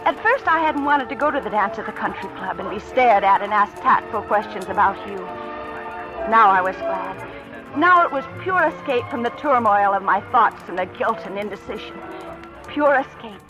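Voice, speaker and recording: A woman's voice: medium pitch, expressive, normal speed, muffled recording, natural voice. An actress playing an anxious young woman with a guilty secret, tense and hesitant, in a 1940s radio drama style. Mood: brooding, guilty. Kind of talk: 1940s radio acting, with confessional first-person narration. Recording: old radio drama recording, music underneath.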